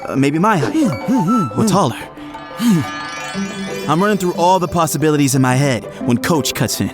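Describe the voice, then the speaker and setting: An actor playing an eager, frazzled young male athlete, narrating his own story in an audio drama with expressive, at times hesitant delivery. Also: fairly high pitch, normal speed, over music. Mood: excited, incredulous. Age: young adult. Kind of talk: first-person audio drama narration and dialogue.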